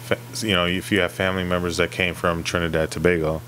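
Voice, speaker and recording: A man's voice: deep voice, little pitch movement, fast, a bit bright, slight background noise. A man co-hosting a podcast, talking casually and feeling his way through a point. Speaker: podcast co-host. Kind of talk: casual discussion.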